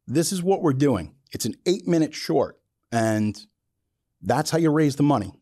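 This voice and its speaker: A man delivers an off-the-cuff, opinionated monologue as a podcast host. His voice is mellow and sits at an average pitch, but it moves around a lot as he drives his points home. The phrasing is blunt and colloquial, and the sound is dry and close. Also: animated, normal speed, no echo. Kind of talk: opinionated casual monologue about filmmaking.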